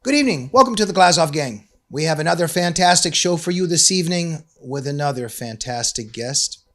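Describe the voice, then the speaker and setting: A man hosting a talk show, speaking emphatically in deliberate, drawn-out phrases. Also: a little high, lively intonation, a bit bright, soft background music. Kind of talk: scripted intro and interview talk.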